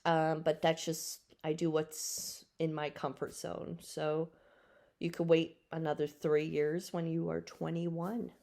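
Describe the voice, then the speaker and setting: A woman's voice: low-pitched, level tone, slow pace, vocal fry, warm tone. A woman in her forties hosting a solo podcast, answering questions off the cuff in a frank, rambling, matter-of-fact way. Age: 40s. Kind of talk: casual unscripted solo podcast talk.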